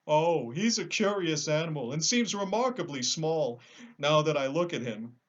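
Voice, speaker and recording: A big cowardly lion from a storybook, played by a man, pleading in a high, swooping voice. He is plaintive and timid, full of self-pity and theatrical sorrow. The recording is slightly dull. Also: expressive, normal speed. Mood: sad, confessing. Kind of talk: storybook character voice.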